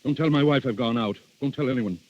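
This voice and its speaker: A man's voice: medium pitch, fast talker, a little hiss or hum, lightly acted. An actor playing a fatherly older duke with an authoritative, theatrical manner in a 1930s radio drama. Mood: urgent command. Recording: dull 1930s radio recording.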